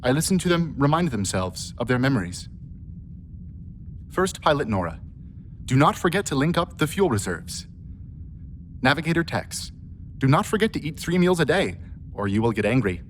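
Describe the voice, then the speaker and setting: Man as an earnest robot helper, lively swooping pitch. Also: moderate pace, long pauses, slight background noise, lightly acted. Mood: tender. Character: earnest, affectionate humanoid helper robot aboard spaceship. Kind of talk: radio play monologue.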